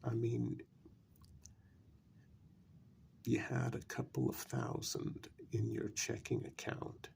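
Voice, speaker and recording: A man playing a calm, coldly persuasive vampire in a soft-spoken ASMR role-play, talking deliberately in a gravelly, breathy voice. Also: mid-range voice. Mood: cold, reasoning. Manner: slow, deliberate, pausing between phrases.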